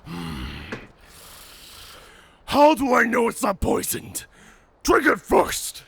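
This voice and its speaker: Slow, gravelly, savage werewolf warrior. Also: male voice, very high-pitched, huge pitch swings, a bit bright, no room sound, exaggerated voice. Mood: wary, commanding. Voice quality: gravelly, raspy growl. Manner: slow, menacing, theatrical, formal speech. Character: savage proud male werewolf warrior, honor-bound and taunting. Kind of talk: cinematic audio roleplay acting.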